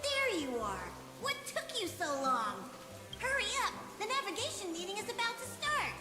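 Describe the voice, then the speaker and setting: An actor voicing a tiny, excitable, bossy mascot creature that announces things urgently in a video game. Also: very high voice, normal speed, music in the background. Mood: impatient.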